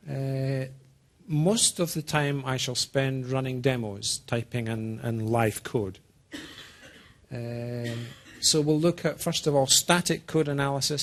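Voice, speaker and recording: A man with an accent that is part Scottish, part American, speaks at a middling pitch. He presents technical material informally, as a program manager would to a small group. His delivery is deliberate and a little halting, and he invites interaction. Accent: Scottish-American mix. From Scotland.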